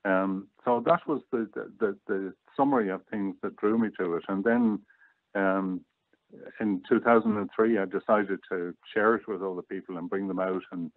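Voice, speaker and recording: Low-pitched Irish man on a phone-like line. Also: steady tone, normal speed, no echo. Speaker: interview guest. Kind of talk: casual reminiscing interview. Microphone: online call or phone line. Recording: muffled phone-like, dry online call.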